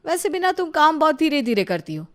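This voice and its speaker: Woman acting a sly, bossy young sister-in-law, high voice. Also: big pitch swings, a little fast, fairly bright tone, a little hiss or hum. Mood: bossy, dismissive.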